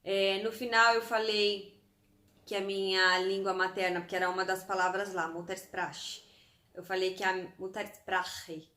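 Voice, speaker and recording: A woman's voice: medium pitch, bright, forceful tone. Chatty Brazilian woman in an echoey room. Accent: Brazilian Portuguese, from Santos. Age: 30s. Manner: chatty, spells out lists item by item with German words mixed in. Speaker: vlogger. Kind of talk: casual chat to camera.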